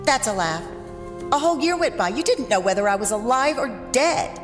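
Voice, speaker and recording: An actress playing a sultry, wounded thief in an audio drama, intimate and pointed. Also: female voice, on the high side, sing-song, fairly quick. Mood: scheming, hurt. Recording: reverberant space under music.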